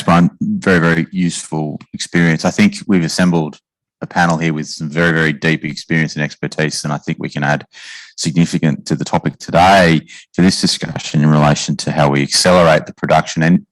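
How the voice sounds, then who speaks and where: A man's voice: rumbling low, no room sound, rough recording. A man moderating a conference panel, often repeating words and restarting his phrases.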